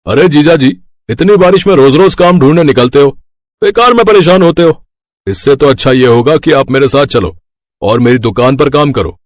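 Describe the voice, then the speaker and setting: A man's voice: on the high side, lively intonation, normal speed, close to an everyday voice. A man voicing a glib, sly village shopkeeper in a cartoon story. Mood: coaxing.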